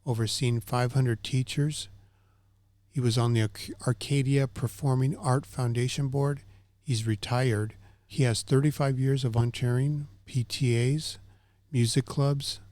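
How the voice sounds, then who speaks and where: A man's voice: medium pitch, darkish tone, dry recording. A man hosting a community recap, speaking from notes in a semi-scripted way and stumbling now and then over names and words. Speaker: host of a community recap show.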